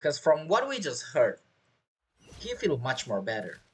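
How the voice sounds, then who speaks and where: A man's voice: on the high side, huge pitch swings, a little slow. A man giving casual, informal gameplay commentary as a streamer, with non-native grammar. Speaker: streamer, gamer. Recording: game music behind the voice.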